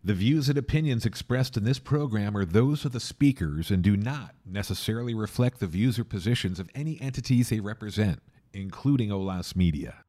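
A man reading a formal disclaimer and show introduction in an even, scripted manner. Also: fairly low pitch, brisk. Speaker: announcer.

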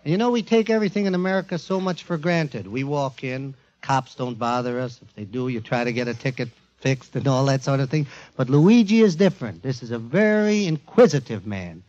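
A man presenting a show to a studio audience in an emphatic, informal, jokey warm-up style, on a 1940s radio recording. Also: medium pitch, expressive, fairly quick, hardly any pauses, muffled recording. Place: before a live studio audience.

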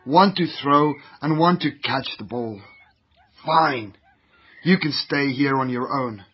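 A man's voice: medium pitch, slow pace, breathy edge, muffled recording. A man voicing a lazy, whiny young boy who would rather play than study.

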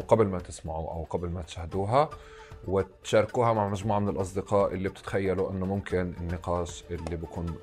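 A man with a fairly low voice hosts a podcast and speaks quickly in a friendly, casual tone, with some hesitant fillers. Faint music plays behind him. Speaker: podcast host.

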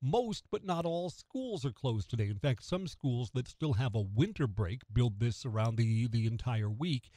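A man's voice: mid-range voice, lively intonation, normal speed, hardly any pauses, mellow, no echo. An American man hosting morning talk radio, delivering a conversational opinion monologue and putting rhetorical questions straight to the listener. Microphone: close studio radio microphone.

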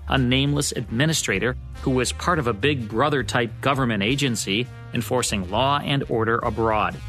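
A man's voice: mid-range voice, bright, forceful tone. A man with an American accent hosting a radio show, reading a scripted introduction. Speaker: host. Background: music.

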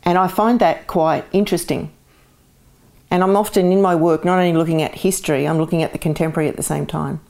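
A woman's voice: lowish voice, fairly even intonation, fast talker, vocal fry, a little mellow. A woman, an artist talking frankly and with humour in an interview. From Scotland. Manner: frank, opinionated, laughs often. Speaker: artist guest. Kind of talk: casual conversational interview.

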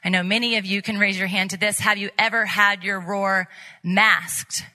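A woman's voice: medium pitch, fairly even intonation, normal speed, bright, forceful tone. A woman conference speaker giving an earnest, exhorting faith talk, repeating phrases for emphasis. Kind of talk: motivational faith talk to an audience.

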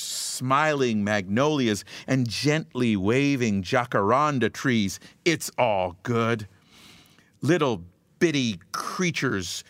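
A man preaching a scripted devotional message in a measured, emphatic way, leaning on rhythmic repetition. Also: mid-range voice, fairly bright tone, dry recording.

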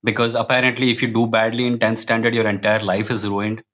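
A man's voice: on the low side, little pitch movement, fast, fairly bright tone. A man with an Indian accent speaking as a podcast guest in casual, opinionated conversation, with a wry, emphatic manner that tends to digress. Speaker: podcast guest, comic book writer.

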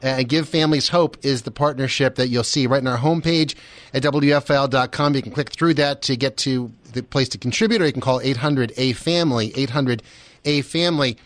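An American man hosting a casual radio interview, asking questions in a halting, rambling way. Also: medium pitch, dry recording. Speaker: radio station host interviewing.

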